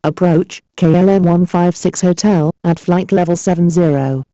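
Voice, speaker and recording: A woman's voice: lowish voice, steady tone, moderate pace, dark tone, no room sound. A woman speaking clipped aviation phraseology over an air traffic radio transmission. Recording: dull, band-limited air traffic radio.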